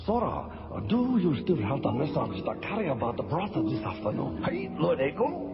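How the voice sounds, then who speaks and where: A man playing gloating, scheming villains, an imperial warlord and his fawning servant, in a theatrical, drawn-out radio drama style. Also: fairly high pitch, huge pitch swings. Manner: theatrical, drawn-out, swooping delivery. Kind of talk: old-time radio drama acting. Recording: dull radio recording with loud music.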